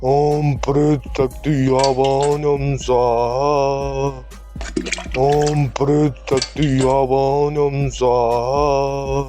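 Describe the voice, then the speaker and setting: A man voicing a calculating male tantric sorcerer in a horror cartoon, speaking slowly, solemnly and deliberately. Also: mid-range voice, little pitch movement, music in the background.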